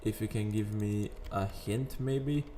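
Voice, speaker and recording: A man with a raspy morning voice, chatting casually over gameplay and rambling into sidetracks. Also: on the low side, unhurried, warm tone, a little hiss or hum. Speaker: gaming video host. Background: faint background music.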